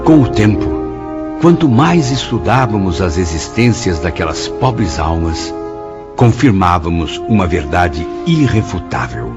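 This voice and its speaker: Solemn Brazilian radio drama narrator, deep, expressive swings, music behind. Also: male voice, measured pace, heavily acted. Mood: solemn, reverent. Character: solemn, reverent male narrator of a spiritist tale. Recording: slightly dull audio under clear music.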